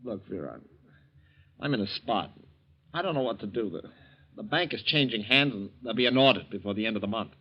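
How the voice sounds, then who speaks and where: An actor in a 1940s radio drama playing a hot-tempered, evasive young husband, agitated and defensive, on a muffled vintage recording. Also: male voice, fairly low pitch, lively intonation. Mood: anxious, cornered. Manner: agitated, defensive, quick to snap.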